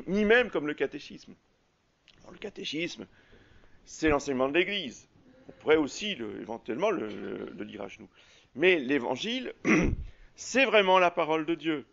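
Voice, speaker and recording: A man preaching a sermon as a priest, halting often with fillers and restarts. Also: fairly high pitch, gravelly, fairly bright tone, lo-fi sound. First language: French.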